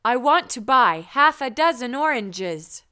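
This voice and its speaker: Woman teacher reading learner drills, high and very clear, unhurried. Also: very animated, bright, forceful tone. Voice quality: careful, clearly enunciated. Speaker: language teacher. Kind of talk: slow drill sentences for learners.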